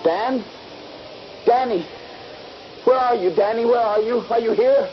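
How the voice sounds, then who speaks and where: A man's voice: extremely high pitch, measured pace, faint music behind. An actor playing a trapped, injured soldier who also narrates his ordeal in a vintage radio drama. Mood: frantic calling. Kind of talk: radio drama acting and first-person narration. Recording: dull, noisy vintage radio recording.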